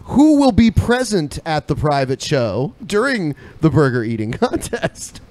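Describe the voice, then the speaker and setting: Lively, higher-voiced man co-hosting a podcast. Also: measured pace. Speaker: podcast co-host. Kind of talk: casual chat, show promotion.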